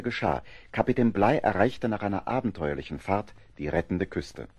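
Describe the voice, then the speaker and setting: A man narrating a seafaring adventure radio play in a grave, dramatic storytelling style, with a husky voice. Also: sits low, a little mellow, no echo, exaggerated voice.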